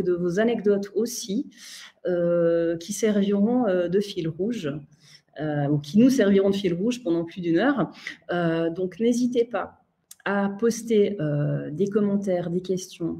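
A woman's voice: mid-range voice, dark tone. Hesitant Swiss French woman moderator. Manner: hesitant, many fillers and restarts. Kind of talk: hosting a live online panel. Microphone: video call.

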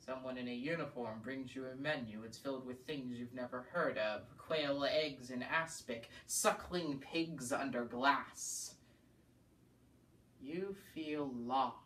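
A man playing an embittered, scornful painter in a deliberate, intense theatrical monologue. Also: mid-range voice, slight background noise. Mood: brooding scorn. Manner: deliberate, swelling from hushed to snarling. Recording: echoey room, distant mic.

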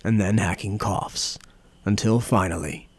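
A man narrating a scripted dark fantasy audiobook in a solemn, dramatic storytelling manner. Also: fairly low pitch, fairly even intonation, measured pace, slightly rough, no room sound.

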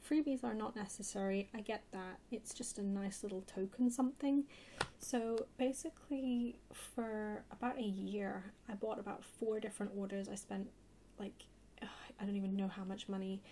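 A soft-spoken woman chatting casually in a rambling way for an ASMR haul video. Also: medium pitch, steady tone, normal speed. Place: indoors at a table, hands-only camera. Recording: clear background hiss or noise.

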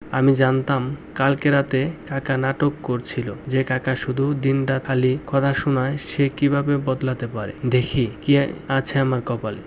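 A man's voice: medium pitch, level tone, moderate pace, a little hiss or hum, close to an everyday voice. A man playing a wronged, proud and brooding young orphaned nephew in a cartoon story. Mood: brooding, resigned. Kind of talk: cartoon story dialogue and first-person narration.

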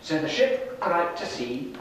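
Middle-aged male children's storyteller, slow and very expressive; distant, noisy recording. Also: a little high. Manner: slow, animated, with pauses and questions to the children. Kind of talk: interactive Bible storytelling to young children. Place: children's classroom with seated young audience. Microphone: distant camera at back of room. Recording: echoey, noisy room recorded from far off.

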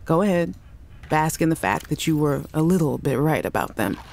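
An actress playing a worried woman scientist who leaves halting, apologetic voice messages for her sister in a video game. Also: sits low, expressive, a little mellow. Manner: anxious, apologetic, halting restarts. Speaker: game character.

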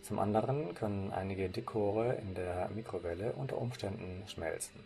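A man's voice: fairly low pitch, normal speed, vocal fry, dark tone, soft background music. A man from Germany presenting, reading scripted narration for a slide presentation in a formal way. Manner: formal, read-out delivery.

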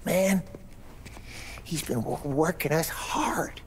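A stammering, jittery mechanic. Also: male voice, fairly high pitch, slow, creaky, a little hiss or hum. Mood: nervous. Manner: stutters, nervous. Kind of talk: video game cutscene acting.

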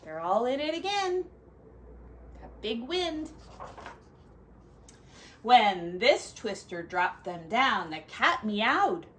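A woman teacher reading a picture story aloud to children, expressive and dramatic, with chatty asides. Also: a little high, slow pace, long pauses, slight background noise.

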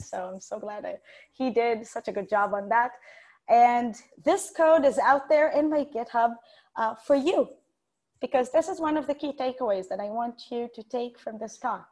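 A young woman giving an informal tech talk over a video call, chatty, giggly and self-deprecating. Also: a little high. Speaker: conference speaker, software developer. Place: indoors, room with bookshelves.

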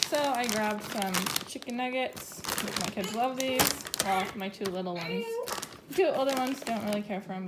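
A woman in her thirties, a mother casually listing her groceries in a relaxed, chatty way, filmed on a phone in her home kitchen. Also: fairly high pitch. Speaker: mother filming a grocery haul. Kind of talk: casual grocery haul narration. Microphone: phone camera held over counter. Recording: noisy home phone recording. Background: loud background noise, kids talking.

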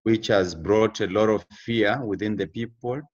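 Young Zambian man, low voice, deliberate and formal, dull lo-fi call audio. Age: young adult. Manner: measured, formal, repeats key phrases. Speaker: interview guest. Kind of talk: video call interview. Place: indoor room with exposed roof beams. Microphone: video call.

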